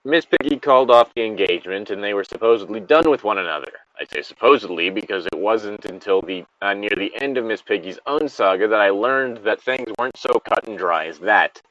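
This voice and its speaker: A man's voice: lowish voice, expressive, fairly quick, few pauses, fairly bright tone, no room sound. A man reading a story aloud in a casual style as a co-host, with bantering asides. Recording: dull lo-fi close recording.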